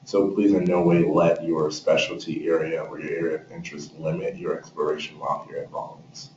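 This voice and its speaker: A young man introduces himself in formal, articulate phrases. His voice is deep, set back in a roomy space with faint noise. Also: flat delivery, fairly quick, darkish tone. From South Florida, US. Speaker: graduate student. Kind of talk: prepared self-introduction. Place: echoey room, away from the mic. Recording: distant, echoey, muffled, noisy room.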